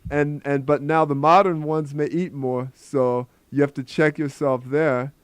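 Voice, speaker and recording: A man hosting a call-in radio health show as the doctor, giving advice in a relaxed, friendly, conversational way. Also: on the high side, measured pace, smooth, no echo. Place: radio studio.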